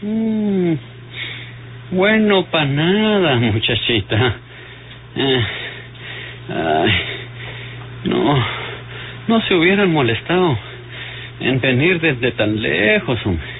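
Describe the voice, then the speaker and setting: A dying old Mexican rogue in a vintage radio serial. He talks haltingly and sardonically, with rustic words and groans, his pitch swinging widely as he drags out each phrase. The old recording is dull and hissy. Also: big pitch swings, exaggerated voice. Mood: resigned, regretful. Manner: rustic, sardonic, colloquial speech. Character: ailing, bitter, sardonic dying old man, repentant rogue.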